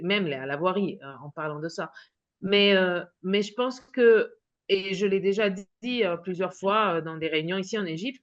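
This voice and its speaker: A woman on a webinar speaks in a halting way, stopping and restarting her sentences. Her voice sits in a middle range. The video call audio sounds dull and lo-fi. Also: medium pitch. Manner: halting, many restarts and fillers. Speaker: webinar panelist. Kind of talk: hesitant casual remarks. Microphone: video call microphone.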